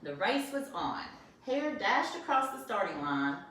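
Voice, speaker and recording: A woman teaching first grade, reading a storybook aloud in an expressive way and then explaining the lesson gently to young children. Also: medium pitch, measured pace. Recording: roomy echo from a distance, faint noise.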